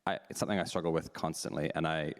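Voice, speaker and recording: A man's voice: extremely low pitch, steady tone, quick pace. A man hosting a live panel talks frankly and candidly, thinking aloud as he answers audience questions. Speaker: panel host, drag performer. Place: meeting house hall. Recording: long hall reverb.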